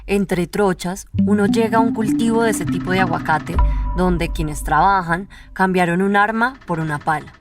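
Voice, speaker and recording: Low-voiced woman narrating over music. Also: moderate pace. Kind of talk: scripted documentary narration.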